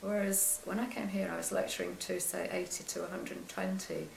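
An older woman with a quiet voice, recalling her career as a lecturer in an interview, soft-spoken and hesitant with many restarts. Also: fairly low pitch, steady tone, brisk, creaky, reverberant, a little hiss or hum, rough recording. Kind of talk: oral history interview.

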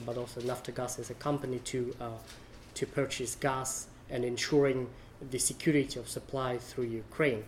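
A man giving a halting academic panel talk, often pausing to search for words. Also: medium pitch, a little mellow, slight background noise. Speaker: panel speaker.

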